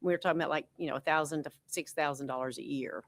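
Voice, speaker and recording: A woman with a somewhat low voice walks a school board through tax figures. She explains patiently and conversationally, with frequent ums and asides. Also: steady tone, fast. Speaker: school finance consultant. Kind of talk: board meeting presentation. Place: school board meeting room.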